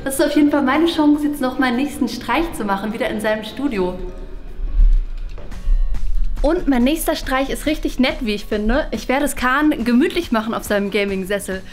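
A woman's voice: sits high, moderate pace. A young woman hosting a prank vlog, talking casually and playfully to camera. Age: young adult. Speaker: prank show host. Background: music.

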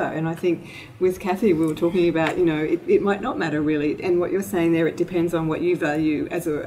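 A middle-aged woman moderating a panel discussion in a conference room, measured and hedging with fillers. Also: sits low, warm tone, slight background noise, poor audio.

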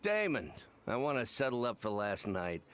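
A man's voice: mid-range voice, big pitch swings, moderate pace, fairly bright tone, clear, resonant tone. A man playing a hearty, friendly older village man in a video game, speaking in a folksy way. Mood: amiable, matter-of-fact. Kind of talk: video game character acting.

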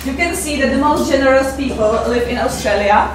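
A woman in her thirties with a Czech accent gives a fundraising presentation, halting with many fillers, recorded from afar in a seminar room. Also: high-pitched, distant mic, background noise. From Czech Republic. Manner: hesitant, many fillers, halting non-native English. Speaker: fundraiser giving a conference talk. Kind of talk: presentation talk. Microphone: far camera mic. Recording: echoey room, picked up from afar.

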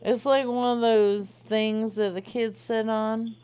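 A woman's voice: on the high side, level tone, takes it slowly, dark tone, clear, resonant tone. A woman hosting a prank call show, improvising casually in a crude, teasing, rambling way.

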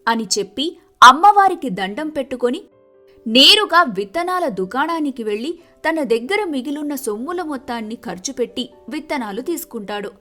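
A woman tells a scripted folk tale in a high-pitched voice. Her tone is bright and her delivery is expressive, with faint music underneath. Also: normal speed. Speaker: story narrator.

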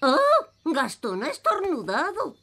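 A woman's voice: high voice, big pitch swings, a little slow, heavy background noise, full character voice. A woman voicing an earnest, cheerful little elf boy in a children's cartoon. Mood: concerned. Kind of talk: animated children's cartoon dub.

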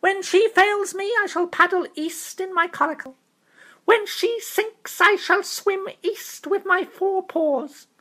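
A reader voicing a brave, proud talking mouse knight who speaks in a solemn, high-flown, resolute way. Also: slow, bright, forceful tone, exaggerated voice.

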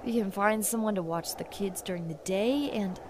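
A woman's voice: medium pitch, moderate pace, noisy background, lightly acted. A woman voicing a pregnant young woman abandoned by her husband, earnest and pleading, in a comic dub.

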